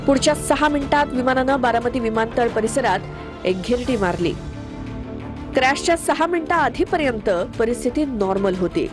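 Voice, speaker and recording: A woman's voice: a little high, lively intonation, moderate pace. A woman reading a scripted news narration. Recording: voice-over with prominent music bed. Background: background music.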